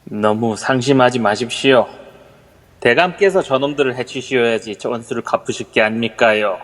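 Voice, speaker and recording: A man's voice: mid-range voice, moderate pace, a bit bright, natural voice. Guy doing a sneaky servant buttering up his master, old-timey polite, medium voice, long reverb. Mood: urging. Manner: deferential, urging, archaic honorifics. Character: servile, scheming male henchman to a ghost lord. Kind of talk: amateur audio drama acting.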